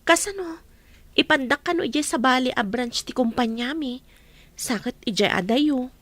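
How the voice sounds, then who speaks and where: A woman playing a caring, encouraging young working woman in a radio drama, gentle and sympathetic. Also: sits high, measured pace, no room sound. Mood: regretful. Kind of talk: Ilocano radio drama acting.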